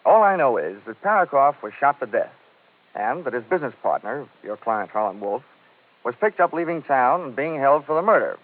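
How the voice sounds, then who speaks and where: Male actor as tough American investigator, wry clipped wisecracks, very animated pitch, old-time radio drama, muffled vintage sound. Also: fairly quick, slight background noise, close to an everyday voice. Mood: wry, businesslike. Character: wry, tough, cocky freelance insurance investigator. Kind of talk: 1940s-50s radio detective acting, first-person narration.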